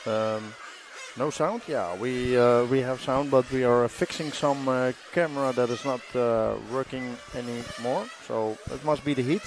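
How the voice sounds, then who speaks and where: Male event host, mid-range voice, halting and casual, reading viewer questions; clear track noise in background. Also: medium pitch, steady tone. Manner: hesitant, many fillers. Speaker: livestream host. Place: trackside stage at a race event.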